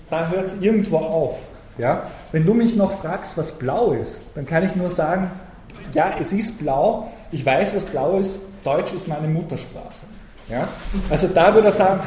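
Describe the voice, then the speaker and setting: A German-speaking man lectures in a strongly echoing room, and his voice sits a little high but sounds mellow and dark. He talks in a thoughtful, hesitant way, often pausing on fillers. Faint noise hums behind him. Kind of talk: academic talk and discussion.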